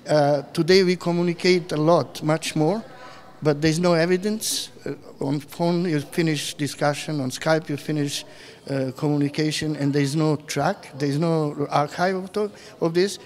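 A man in his fifties from former Yugoslavia, speaking as an artist in an on-camera interview in a gallery room, halting and full of fillers, into a handheld mic. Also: on the high side, steady tone, a little mellow. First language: Serbian. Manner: halting, many fillers and restarts. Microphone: handheld mic held close.

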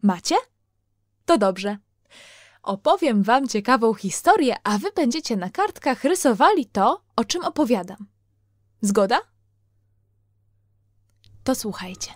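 A woman's voice: a little high, big pitch swings, unhurried, pauses often, no echo, studio quality. A woman hosting a children's programme, telling stories playfully and speaking straight to her young listeners. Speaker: host and storyteller of a children's programme. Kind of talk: storytelling for children.

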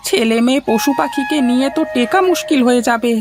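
Woman voicing high-pitched, worried poor village father. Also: fast, music in the background, close to an everyday voice. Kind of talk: Bengali cartoon dub.

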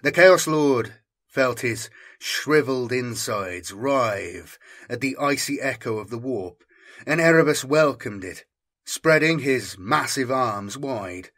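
Husky, forceful male audiobook narrator. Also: medium pitch, animated, slow pace. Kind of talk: dramatic scripted narration of dark science fiction.

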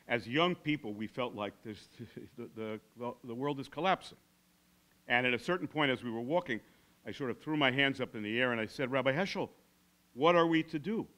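A man of about eighty with an American accent, giving a keynote speech as storytelling in deliberate, measured phrases with dramatic pauses. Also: medium pitch, bright, forceful tone. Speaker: keynote speaker. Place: large classroom before a seated audience. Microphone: podium microphone.

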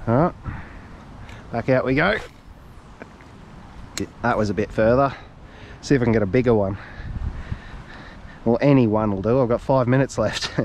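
A man with an Australian accent, talking to himself in casual running commentary as he fishes from a reservoir bank, chatty and quick to laugh. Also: medium pitch, a little mellow, slight background noise. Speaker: fishing vlogger. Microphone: head- or chest-mounted action camera.

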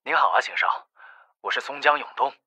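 Man as a husky, sharp, deferential gangster. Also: mid-range voice, a little fast, no room sound, pitched above the performer's own voice. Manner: courteous, ingratiating. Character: polite, deferential gang underling on phone. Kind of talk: audiobook character acting.

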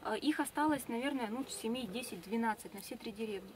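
A woman's voice: a little high, a little hiss or hum. A young woman guide explaining things fluently to a tour group inside a large tent, recorded from a distant camera. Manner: fast, fluent, informative, with fillers.

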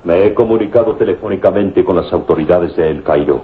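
An actor playing a grave, formal professor in an old radio serial. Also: male voice, low voice, a little fast, natural voice. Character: grave male professor in London. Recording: noisy, muffled old radio recording.

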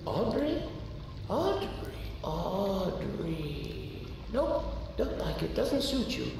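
A slow, teasing oddball stranger. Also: female voice, very animated, gravelly, background noise, full character voice. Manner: slow, drawling, teasing, sudden pitch swings. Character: odd, whimsical stranger who renames people. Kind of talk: video game cutscene acting. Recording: roomy reverberant game audio with ambience.

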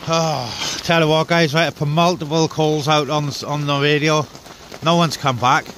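A man's voice: fairly high pitch, steady tone, moderate pace, a bit bright. A man chatting casually and informally to viewers as he handles gear at an outdoor camp, muttering asides. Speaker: outdoor CB radio vlogger. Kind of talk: casual vlog chat to viewers. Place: outdoors in a wet forest camp. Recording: outdoor camera with clear background noise.

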